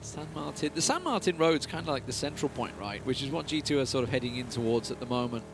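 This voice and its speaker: A man's voice: medium pitch, lively intonation, quick pace, background noise. A man casting a live esports match on a headset, chatty and analytical, and he laughs easily. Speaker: esports caster.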